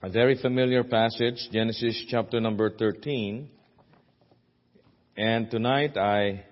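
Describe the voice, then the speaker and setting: A man with a Filipino accent, a guest preacher giving a sermon in a church, earnest and halting, with many fillers and restarts. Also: lowish voice. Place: church sanctuary. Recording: dull audio with long hall reverb.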